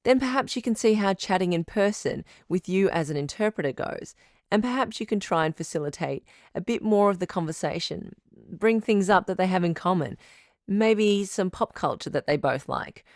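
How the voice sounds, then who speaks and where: Actress as calm supportive lady doctor hosting radio advice drama, lower voice, articulate, super dry studio sound. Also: moderate pace, dry recording. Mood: supportive, attentive. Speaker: radio show host.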